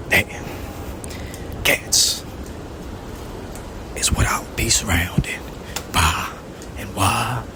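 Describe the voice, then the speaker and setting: A man delivering a rambling, deadpan comic monologue, repeating himself in a slow, half-whispered way and boasting about his voice. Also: lowish voice. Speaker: comedic vlogger. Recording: loud traffic noise behind.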